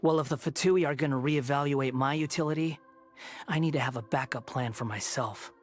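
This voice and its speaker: A man voicing a cynical, haughty young villain in an anime game, husky, sardonic and aloof. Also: medium pitch, brisk, natural voice. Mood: smug, cool. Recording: soft music behind the voice.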